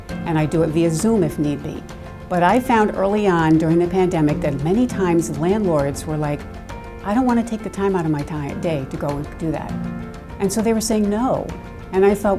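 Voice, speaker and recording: Older woman mediator: soft, gentle delivery, low voice, music under it. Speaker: eviction mediator. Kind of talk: interview in a produced video.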